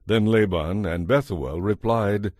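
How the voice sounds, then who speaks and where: Low-voiced man narrating scripture. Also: a little slow, darkish tone, dry recording. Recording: music behind the voice.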